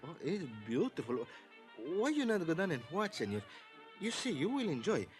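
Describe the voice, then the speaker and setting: An actor playing a polite, eager manservant with a Latin accent and halting English in an old radio drama. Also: male voice, high-pitched, huge pitch swings. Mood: eager, admiring. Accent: Mexican or Spanish-speaking Latin. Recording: vintage radio broadcast, music behind.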